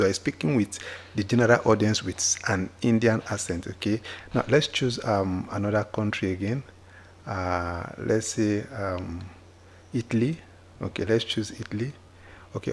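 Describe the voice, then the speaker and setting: A man walking viewers through a software tutorial, often repeating himself and checking in with "okay?". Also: on the low side. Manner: repetitive, with frequent "okay?" checks. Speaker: tutorial host. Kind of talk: screen-recorded software tutorial. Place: indoors at a computer, voice-over on screen capture.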